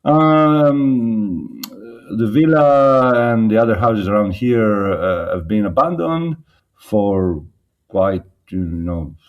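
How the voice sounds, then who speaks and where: A man's voice: on the low side, darkish tone. A man with an Italian accent, a winemaker answering interview questions in a hesitant way, with frequent ums and uhs. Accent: Italian, slightly mixed. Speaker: winemaker guest.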